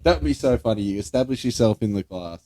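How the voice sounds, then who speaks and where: Low, mellow young Australian man. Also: lively intonation, fast. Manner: sweary, slangy, blunt. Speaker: podcast co-host. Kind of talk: casual banter.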